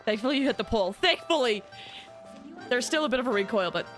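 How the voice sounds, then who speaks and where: A woman's voice: a little high, expressive, fast talker, fairly bright tone. A woman livestreaming a game, chatting casually and reacting in a friendly way to the story and her viewers. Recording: game music behind voice. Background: game music.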